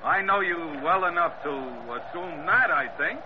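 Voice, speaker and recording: A folksy, teasing American ship's mate in a vintage radio adventure. His voice is high for a man and bounces around in pitch. The old recording is very noisy. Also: lively intonation, normal speed, dull audio. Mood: admiring, teasing. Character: gruffly friendly, teasing sailor, skipper's loyal mate. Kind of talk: 1940s radio adventure acting.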